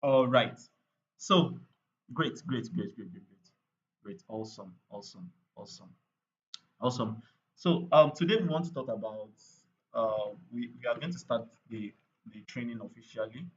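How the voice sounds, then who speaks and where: A man's voice: medium pitch, lively intonation, moderate pace, muffled recording. A man running a live online training on paid ads, chatty and repetitive, with lots of fillers and "right?" tag questions.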